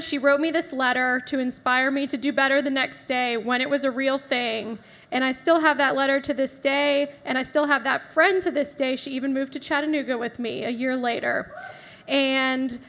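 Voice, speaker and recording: A woman's voice: high voice, bright, forceful tone, big-room sound. A woman telling an earnest personal story on stage with a microphone. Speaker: presenter at a live talk night.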